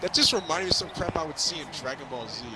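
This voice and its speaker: A young man chatting casually while gaming, telling things in a rambling, halting way. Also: a little high, expressive. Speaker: gamer reviewing a film with a friend. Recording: crowd and ball bounces from game. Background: basketball video game crowd noise.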